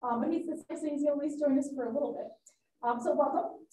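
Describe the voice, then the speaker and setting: A woman hosts a public science evening, giving the announcements in a loose, informal manner with plenty of fillers. Her voice sits somewhat high and warm. It is picked up from a distance in an echoey room, with a light hiss behind it. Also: quick pace. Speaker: event host. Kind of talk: announcements and speaker introduction. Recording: roomy hall, distant mic, light noise.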